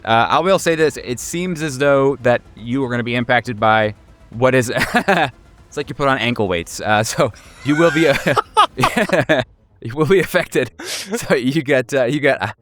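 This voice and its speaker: A man's voice: mid-range voice, expressive, normal speed, fairly bright tone. A man game mastering a horror tabletop game in casual, improvised narration, joking and swearing freely. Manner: profane, joking.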